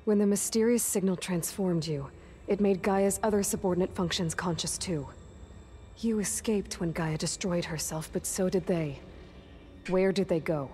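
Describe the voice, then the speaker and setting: A woman's voice: on the low side, normal speed, mellow, soft background music, lightly acted. A woman playing a determined, sardonic young warrior heroine in a video game, questioning in a dry, pressing manner. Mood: sardonic probing. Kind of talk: video game dramatic acting.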